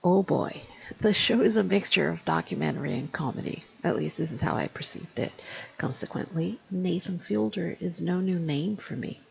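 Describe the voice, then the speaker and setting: A woman in her fifties, an English teacher, giving a spoken video review in a deliberate, explanatory way and slowing down to stress key phrases. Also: lowish voice, expressive, gravelly, darkish tone, low-quality recording. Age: 50s.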